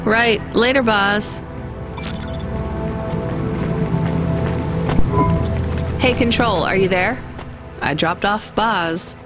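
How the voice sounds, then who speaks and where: Music runs behind an actress as a female delivery driver in a video game. Her voice sits at an ordinary pitch, and she talks with dry, impatient sarcasm. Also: slow pace, close to an everyday voice. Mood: wry. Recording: music over the voice. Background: music, vehicle noise.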